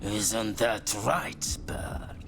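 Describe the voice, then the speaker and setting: A suspicious old pirate captain in a video game. He speaks low and very slowly, brooding and ominous over his treasure. Music plays clearly behind him. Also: full character voice. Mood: brooding, sly. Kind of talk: video game cutscene acting.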